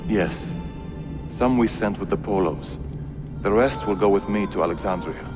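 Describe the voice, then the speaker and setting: Game cutscene role: man as dutiful adult son of an assassin, fairly deep, sincere and grave, clear score behind. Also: normal speed, over music, close to an everyday voice. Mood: earnest, solemn. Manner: respectful, serious. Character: dutiful, earnest grown son of an assassin master. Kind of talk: dramatic video game cutscene acting.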